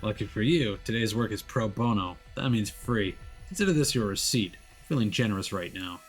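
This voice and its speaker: A man voicing a tough, gruff, grumbling male ex-detective with a grudgingly kind streak. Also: lowish voice, lively intonation, normal speed, soft background music, pitched below the performer's own voice, natural voice.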